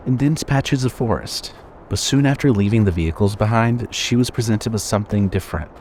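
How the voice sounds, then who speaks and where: A man's voice: lowish voice, darkish tone, a little hiss or hum. A man reading scripted horror fiction as the narrator of an audio drama.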